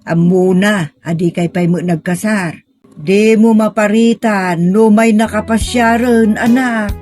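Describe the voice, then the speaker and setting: A woman's voice: medium pitch, fairly even intonation, measured pace, darkish tone, faint music behind, close to an everyday voice. A woman playing a patient, middle-aged mother, earnest and consoling, in a sentimental audio drama. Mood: mildly chiding.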